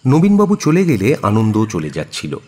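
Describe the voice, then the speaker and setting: Low, husky male story narrator. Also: huge pitch swings, normal speed, warm tone. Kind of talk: scripted dramatic story narration.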